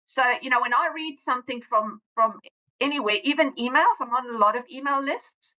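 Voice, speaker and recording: A woman's voice: high-pitched, a little fast, sharp tone, muffled recording. A woman from South Africa with a South African accent, whose first language is Afrikaans, speaking plainly in a casual interview with frequent restarts. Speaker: guest author and teacher.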